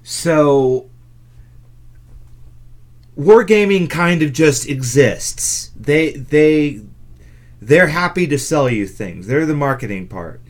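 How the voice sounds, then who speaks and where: A man's voice: a little high, lively intonation, slow pace, long pauses. A man hosting a gaming podcast in casual chat, with a wry, stop-and-start delivery. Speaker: podcast host.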